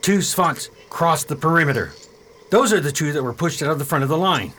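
A blunt military major from a science fiction radio play, hot-tempered and commanding. The voice sits fairly high and swings widely in pitch, over a faintly noisy recording. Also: male voice, lively intonation, brisk, bright, forceful tone. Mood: indignant. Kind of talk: radio drama acting.

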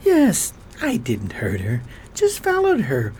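A woman's voice: extremely low pitch, huge pitch swings, slow, darkish tone, slight background noise, voice pushed down, full character voice. A woman voicing a cowardly thirty-year-old male stalker, wheedling and sullen, in an amateur radio drama. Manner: wheedling then sullen and whiny.

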